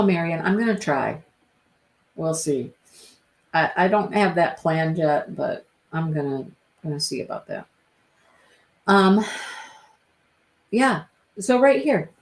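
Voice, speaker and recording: A woman's voice: mid-range voice, a little mellow. A woman hosting a live stream, chatting casually with viewers by name and repeating her phrases, her voice stuffy and congested. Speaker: livestream host.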